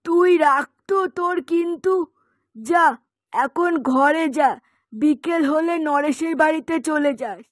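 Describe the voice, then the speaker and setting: Casting: actress as worried, scolding village mother for cartoon, high-pitched, dry close sound, music underneath. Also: takes it slowly, dry recording. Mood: complaining, chiding. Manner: chiding, complaining. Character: nagging, worried widowed mother of a grown son. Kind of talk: Bengali moral-story cartoon dubbing.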